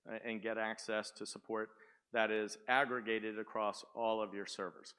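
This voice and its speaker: Booming man giving a talk. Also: bright, forceful tone, clean tone. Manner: booming, asks the audience questions. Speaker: conference speaker. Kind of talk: sales presentation to a live audience. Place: conference room. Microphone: speaking without the microphone at first.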